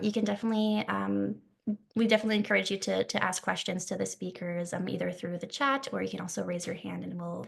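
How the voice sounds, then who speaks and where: Gravelly-voiced woman hosting a video call. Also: medium pitch. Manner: friendly, lots of fillers. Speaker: event host. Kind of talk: online session hosting.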